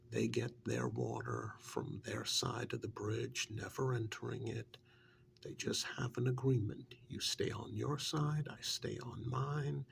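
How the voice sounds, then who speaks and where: Soft, gravelly, slow-talking man acting a witch's manservant. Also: medium pitch, a little hiss or hum. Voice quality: soft, breathy half-whisper with gravelly fry. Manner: unhurried, halting, matter-of-fact storytelling. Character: male witch's assistant, plain-spoken, loyal, fond handyman. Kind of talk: soft-spoken ASMR role-play.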